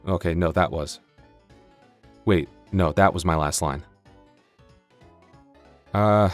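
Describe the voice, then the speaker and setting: A man chatting casually and comically to the listener as an audio creator, rambling playfully with mock bragging and self-interruptions. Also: low-pitched. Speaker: audio roleplay creator and performer. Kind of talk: casual comedic outro chat addressed to the listener.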